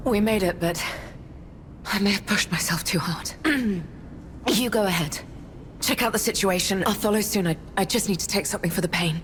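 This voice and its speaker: An actress playing a battle-worn, determined female stalker in a video game, speaking in a grave, guarded, measured way. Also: medium pitch, a bit bright, a little hiss or hum, natural voice. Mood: weary, strained. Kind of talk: dramatic video game cutscene acting.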